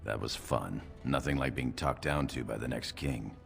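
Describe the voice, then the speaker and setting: An actor playing a loyal male dwarf knight in a video game, formal and measured, with a deep gravelly voice. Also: faint music behind, heavily acted. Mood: wry.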